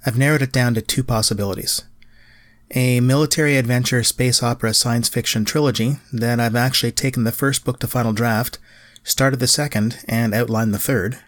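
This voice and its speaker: A middle-aged man, narrating a solo podcast in a measured, lightly self-deprecating way. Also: medium pitch, steady tone, a bit bright, no echo. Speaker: podcast host, writer.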